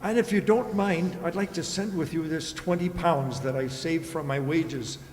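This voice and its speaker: A man's voice: on the high side, moderate pace, darkish tone, lightly acted. A man playing a polite, dutiful household servant with formal manners in a radio play. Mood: courteous, proud. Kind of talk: children's radio play acting. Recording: very echoey hall recording.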